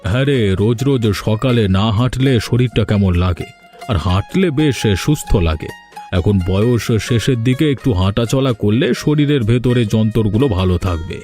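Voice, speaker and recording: Man as a mellow ageing village gentleman. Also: mid-range voice, expressive, moderate pace, faint music behind, lightly acted. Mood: friendly, nostalgic. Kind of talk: cartoon fairy tale voice acting.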